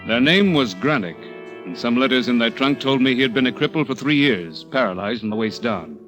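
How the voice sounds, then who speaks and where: An American man acting as a cunning, controlling young murderer in a radio thriller, with an insinuating, halting, deliberate delivery. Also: medium pitch, expressive, dull audio, over music, close to an everyday voice. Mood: tense, brooding. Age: young adult. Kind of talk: radio thriller acting with first-person narration.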